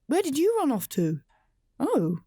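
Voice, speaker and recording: A woman's voice: high-pitched, wildly swooping pitch, a little slow, dark tone, no room sound, studio quality, full character voice. A woman playing a strained, apologetic but firm stepmother in a horror radio drama. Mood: embarrassed.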